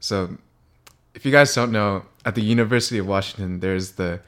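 A man's voice: lowish voice, a little fast, a bit bright. A young man hosting a podcast in a laid-back, friendly way, calling his guest "dude" and "man". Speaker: podcast host. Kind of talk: casual chat.

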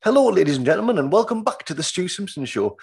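Lively, slightly husky podcast host. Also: male voice, high voice, fast talker. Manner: friendly, enthusiastic, animated. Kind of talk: casual interview.

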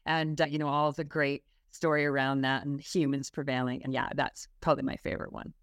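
A woman's voice: very deep voice, level tone, brisk, clean tone, no echo, clean studio sound. A woman with a Canadian accent, chatting upbeat as a guest on a podcast interview, with small fillers. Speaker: guest security specialist.

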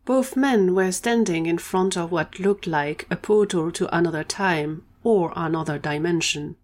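A woman's voice: lowish voice, measured pace, darkish tone. A woman reading scripted narration for an audio drama, telling the story between scenes.

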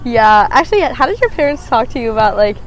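A young woman with a slightly raspy voice, co-hosting a casual podcast chat, giggly, blunt and crude. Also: a little high, big pitch swings, fast talker, music in the background. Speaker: podcast co-host.

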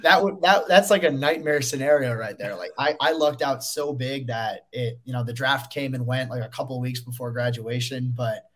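A tired young man in his twenties, a guest analyst on a podcast, slightly hoarse and talking casually with many fillers. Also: mid-range voice, normal speed, hardly any pauses, a bit bright. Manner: rambling, lots of fillers.

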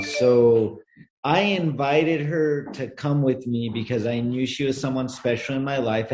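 A man's voice: medium pitch, darkish tone, slight background noise. A man from Los Angeles talking in an earnest, reflective way about nature and spirituality in a casual conversation. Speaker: guest, brand co-founder.

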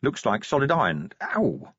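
Man playing a gruff, husky, sardonic police commander. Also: mid-range voice, very animated, normal speed, fairly bright tone, no room sound, highs cut off, full character voice. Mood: gruff, impatient. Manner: gruff, sarcastic, blunt. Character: short-tempered, sardonic male police commander.

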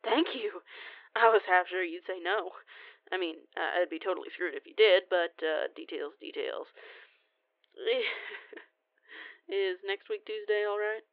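A woman's voice: fairly low pitch, measured pace, long pauses, no echo. Woman acting a rambling young cousin, tinny phone sound. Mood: relieved, chatty. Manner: sheepish, rambling, folksy, mildly foul-mouthed. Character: young cheeky rambling werewolf cousin, female, pleading for favour. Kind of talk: one-sided audio roleplay phone call. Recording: tinny band-limited phone line, very dry.

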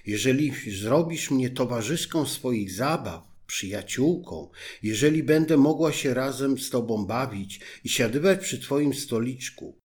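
A man's voice: medium pitch, lively intonation, measured pace, hardly any pauses, a little mellow, lightly acted. A man voicing a persistent female talking frog in a fairy tale, coaxing and pleading.

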